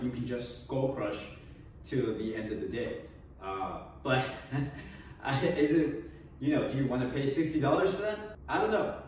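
A man's voice: mid-range voice, a little mellow, slight background noise. Twenties male fitness vlogger, offhand and jokey, recorded at a distance in a reverberant garage. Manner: joking, offhand, trails off with small laughs. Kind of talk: casual equipment review. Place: home garage gym. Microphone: camera across the room. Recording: echoey garage, voice off-mic.

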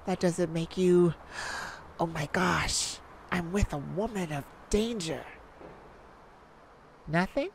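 A woman playing a cocky, flirtatious master thief in an ASMR romance roleplay, with teasing, drawn-out phrasing and dramatic pauses. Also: fairly low pitch, creaky, a little hiss or hum.

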